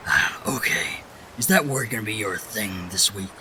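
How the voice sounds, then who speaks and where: A man's voice: lowish voice, expressive, normal speed, noisy background, lightly acted. A man playing a grumpy, exasperated soldier in an audio drama, dry and sarcastic. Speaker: voice actor.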